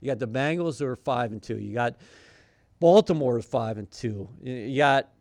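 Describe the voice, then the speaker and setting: A man's voice: mid-range voice, lively intonation, normal speed, darkish tone, no echo, clean studio sound. A man hosting a football podcast, chatting casually and posing questions to his co-host. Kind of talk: casual sports talk.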